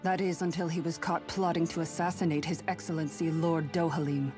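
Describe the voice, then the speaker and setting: Actress as a stern, low-voiced guardswoman. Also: little pitch movement, brisk, darkish tone, natural voice. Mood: cold, accusing. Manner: formal, accusing, firm. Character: stern, loyal guardswoman, bitter younger sister. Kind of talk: dramatic video game voice acting. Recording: game music under the dialogue.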